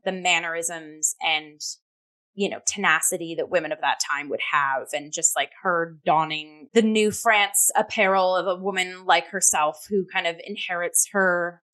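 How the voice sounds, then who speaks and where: A woman co-hosting a review talk show, chatting casually and effusively, often pausing and leaning on "like" as she goes. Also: on the low side, fairly bright tone, poor audio. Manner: effusive, halting, heavy use of "like".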